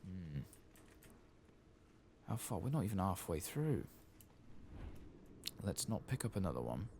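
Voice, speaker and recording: A man hosts a game playthrough in a hushed, soft-spoken ASMR style. His voice is extremely deep and murmured, with a gravelly creak. He takes his time over the words at a slow pace. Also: big pitch swings, a little hiss or hum. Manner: hushed, murmured, occasionally whispering. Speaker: gaming channel host.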